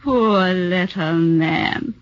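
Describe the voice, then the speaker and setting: An actress playing a bitter, secretive, scornful wife in an intense 1940s radio drama style. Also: female voice, on the low side, very slow, dry recording, faint music behind. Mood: cold, scornful. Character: bitter, defiant, secretive foreign wife turned accuser. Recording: dull 1940s radio recording.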